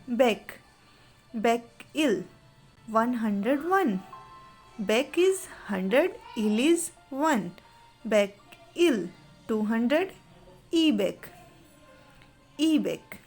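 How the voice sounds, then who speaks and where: A woman's voice: a little high, big pitch swings, a little mellow, faint music behind. A woman teaching a beginner language lesson, going patiently through counting drills, repeating each word and correcting her own slips. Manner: patient, repeats words slowly, corrects herself.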